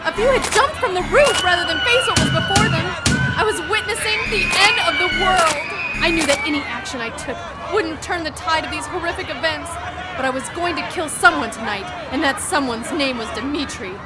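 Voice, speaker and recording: An actress playing a haunted heroine, narrating an audio drama gravely and dramatically in the first person. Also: female voice, very high voice, expressive, brisk, loud music behind the voice. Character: haunted woman narrator fighting mind control. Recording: noisy crowd and outdoor din behind.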